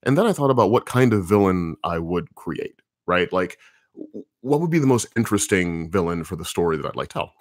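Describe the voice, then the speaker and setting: Male writer, deep low voice, relaxed and rambly, fielding fan questions, dry sound. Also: brisk, dry recording. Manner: relaxed, rambling, thinking aloud with many fillers. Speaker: comics writer answering viewer questions. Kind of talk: casual livestream Q&A.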